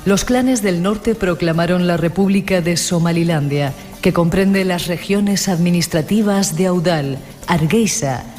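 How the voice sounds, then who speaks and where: Female documentary narrator, lowish register, scripted read, reverberant over music. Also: unhurried, a little mellow. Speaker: programme narrator. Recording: long reverb under clear music.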